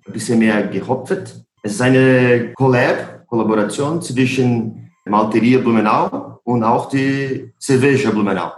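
Brazilian man speaking learned German. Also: medium pitch. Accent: Brazilian Portuguese. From Brazil, Santa Catarina. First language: Portuguese. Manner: simple, non-native German phrasing, unhurried. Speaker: guest, maltster. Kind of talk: casual interview chat. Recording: roomy echo.

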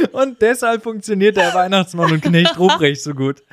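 Male podcast co-host, lively intonation, explanatory yet playful and prone to chuckling, dry close sound. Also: sits high, slow pace, dry recording. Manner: animated, explanatory, often chuckling while talking. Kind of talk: casual humorous podcast conversation.

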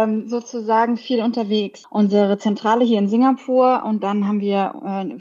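A slightly muffled, lo-fi remote recording of a woman from Germany with a mid-pitched voice. She talks conversationally as a guest founder answering an interviewer's questions. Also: a little mellow. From Berlin, Germany. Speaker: startup founder, podcast guest. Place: hotel room, remote call. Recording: dull, lo-fi remote connection.

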